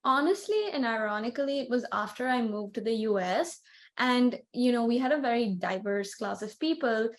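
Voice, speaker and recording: A woman's voice: on the high side, animated, normal speed. A young woman from India, co-hosting a casual podcast and talking reflectively with many "like"s and "you know"s. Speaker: podcast co-host. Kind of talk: casual conversational chat.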